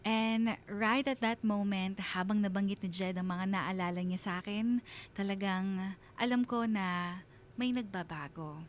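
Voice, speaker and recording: A woman playing a reflective single mother, telling her love story in earnest, sentimental, deliberate phrases like a confessional radio drama. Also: mid-range voice, steady tone, a little hiss or hum, natural voice. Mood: touched, tender. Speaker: narrator of a radio drama letter.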